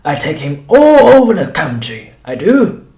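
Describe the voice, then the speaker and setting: A man's voice: a little high, huge pitch swings, a little slow, darkish tone, echoey room, pitched above the performer's own voice, exaggerated voice. A man voicing a bullying, fawning, greedy sideshow shopkeeper for an audiobook, with emphatic, servile delivery. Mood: fawning, boastful. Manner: fawning, emphatic, says sir repeatedly. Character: loud, grinning, greedy male sideshow shopkeeper, bullying and obsequious. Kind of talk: audiobook character voice.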